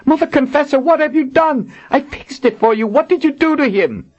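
Brief: male narrator doing a proud, fierce warrior woman, high and lively, urgent delivery. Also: moderate pace. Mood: furious. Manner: intense, urgent, accusing. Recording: dull lo-fi audiobook recording.

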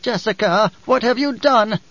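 A man's voice: high voice, normal speed, a bit bright, no room sound, lo-fi sound, voice pushed up. A man voicing an imperious old woman, a shocked and hissing reverend mother in an audiobook.

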